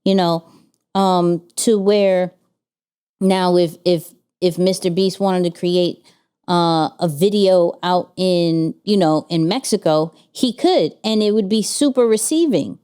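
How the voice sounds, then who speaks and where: A woman co-hosts a casual business chat, her voice mellow and smooth. She talks with energy, stacks up rhetorical questions and often restarts her sentences. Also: on the low side, slow pace. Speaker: podcast co-host.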